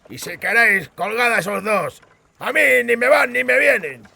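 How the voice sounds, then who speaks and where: An actor playing a cruel, raging bandit chief in an audiobook, crude and threatening, with a croaking, raspy voice. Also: male voice, sits high, expressive, moderate pace, pushed and bright, heavily acted. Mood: menacing, bossy. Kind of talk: dramatised audiobook acting.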